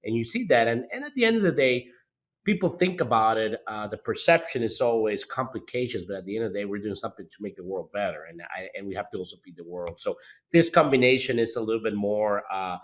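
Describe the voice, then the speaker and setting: A man's voice: lowish voice, lively intonation, quick pace. A man from hosting a podcast interview, often repeating words and restarting sentences. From Costa Rica. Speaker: podcast host.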